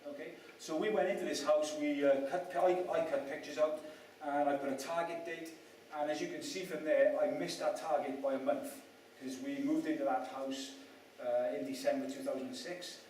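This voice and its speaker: A man raised in Wales gives a chatty, self-mocking talk to an audience, often tossing questions at them. His voice sits a little high. It is heard from a distance in a strongly echoing room with faint noise behind. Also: fairly even intonation, normal speed, hardly any pauses, darkish tone. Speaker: speaker at a property event. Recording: echoey room, mic at a distance.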